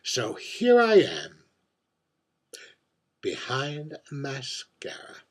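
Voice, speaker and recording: A man acting out a heartbroken, boozy drag queen in a stage monologue. The voice is husky and breathy, and the words come very slowly, with theatrical pauses. The delivery is wistful and confiding, like someone pouring their heart out at a bar. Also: mid-range voice, huge pitch swings, exaggerated voice. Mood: bitter, theatrical. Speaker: writer reading own monologue.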